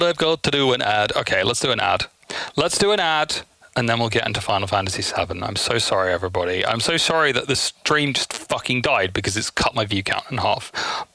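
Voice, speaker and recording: Bright-voiced, sweary male livestreamer. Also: on the low side, vocal fry, dry recording. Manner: sweary, effusive, theatrically exasperated. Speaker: livestream host. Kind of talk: casual chatty livestream talk to chat.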